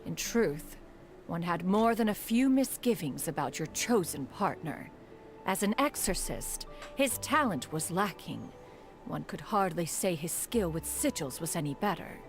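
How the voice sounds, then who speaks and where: A woman's voice: fairly low pitch, sing-song, measured pace, faint music behind. A woman voicing a proud, motherly immortal crane master in a video game, speaking in stately, formal, archaic phrases. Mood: grudgingly approving. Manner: stately, archaic, calls herself "one".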